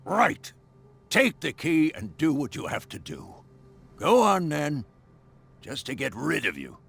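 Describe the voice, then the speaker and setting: An actor playing a surly, hostile caretaker in a video game, with a gruff, threatening manner and raspy voice. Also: male voice, a little high, huge pitch swings, slow pace, fairly bright tone, full character voice. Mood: grudging. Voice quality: gravelly, raspy. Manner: gruff, threatening, old-fashioned tough slang. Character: surly, hostile male caretaker guarding a burnt mansion. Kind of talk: video game cutscene acting.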